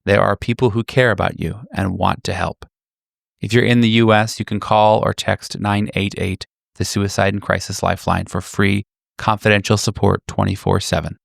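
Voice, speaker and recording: A man's voice: lowish voice, little pitch movement, moderate pace, vocal fry, no room sound, clean studio sound. A man hosting a tabletop roleplaying podcast, speaking casually to the audience. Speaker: podcast host and game master. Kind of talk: casual sign-off talk.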